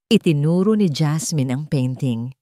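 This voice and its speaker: A woman tells a dramatic story in a low voice. Her delivery is scripted and measured, broken into short phrases, and the sound is dry and close. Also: animated, mellow, no room sound.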